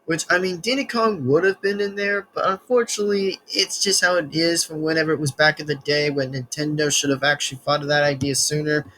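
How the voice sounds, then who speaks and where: Rambling young man reading haltingly. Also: on the high side, fairly even intonation, sharp tone, slight background noise, low-quality recording. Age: 20s. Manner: rambling, many restarts and stumbles while reading. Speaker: reaction channel host. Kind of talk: casual reaction video, reading text aloud.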